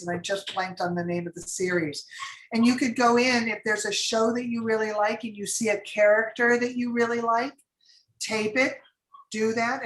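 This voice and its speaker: A woman's voice: on the high side, a bit bright, roomy sound, low-quality recording. A woman casting director advising actors in a class, chatty and rambling with many fillers.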